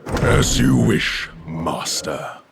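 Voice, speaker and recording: Proud demonic servant-warrior, fantasy game. Very gravelly, low, solemn, archaic phrasing. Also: male voice, lively intonation, extremely slow, exaggerated voice. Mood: proud, devoted. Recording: noisy game audio, ambient sound behind.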